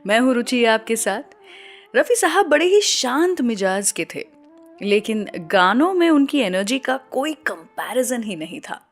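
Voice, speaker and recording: A woman with an Indian accent hosting a radio show, presenting scripted stories to listeners. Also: a little high.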